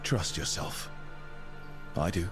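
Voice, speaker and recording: An actor playing a supportive, easygoing male hero in a fantasy video game, gentle and sparing with words. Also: slow, roomy sound, soft background music, lightly acted.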